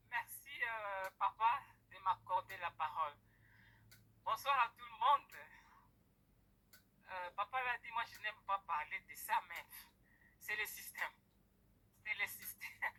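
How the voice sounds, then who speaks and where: A middle-aged woman from the Democratic Republic of the Congo tells an audience her story. She is hesitant and pauses often. Her voice is bright and a little husky, and it comes through a thin, phone-like recording. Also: mid-range voice, animated. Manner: hesitant, with many pauses and fillers. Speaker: guest speaker. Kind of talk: personal testimony to an audience.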